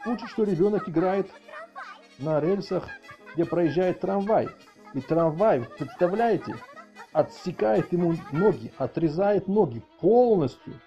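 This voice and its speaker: A middle-aged man hosts a mock-outraged rant in a husky, fairly high voice. He exclaims and stumbles, repeating words. The sound is dull and lo-fi, with faint music behind him. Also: animated, a little slow, mellow. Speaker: video channel host. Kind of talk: mock-outraged comic rant commentary on cartoons.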